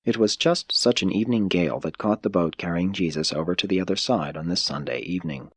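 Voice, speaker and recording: A man narrates scripture-like stories in a low, husky voice. His delivery is measured and solemn, that of an audiobook reader, captured very dry and close without room sound. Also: no room sound. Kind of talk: scripted narration of a religious text.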